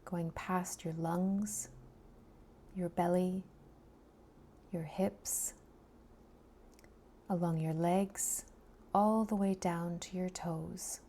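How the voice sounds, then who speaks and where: A woman leads a guided body scan at a very slow, unhurried pace. Her voice is mellow and fairly low, with creaky edges. She speaks softly and breathily, often sinking toward a whisper. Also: fairly even intonation, a little hiss or hum. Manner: soft, soothing, unhurried delivery with long pauses. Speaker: meditation guide.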